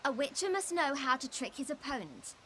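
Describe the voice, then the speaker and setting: An actress playing a cheeky, quick-witted young female witcher trainee, with faint game ambience. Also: high-pitched, very animated, a bit bright, a little hiss or hum.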